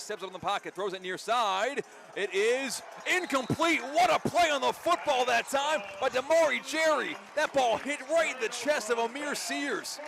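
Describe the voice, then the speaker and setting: A man doing energetic live radio play-by-play commentary of a football game. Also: extremely high pitch, creaky. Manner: energetic, vivid play-by-play delivery. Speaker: play-by-play sports announcer.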